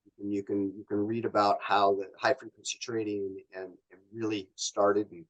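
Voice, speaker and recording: Male, middle-aged, North American accent, lowish voice. Executive interviewee, conversational and rambling, explains as he goes. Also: flat delivery, moderate pace, muffled recording. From born in Canada, grew up in Los Angeles. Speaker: company executive guest. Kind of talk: casual interview chat.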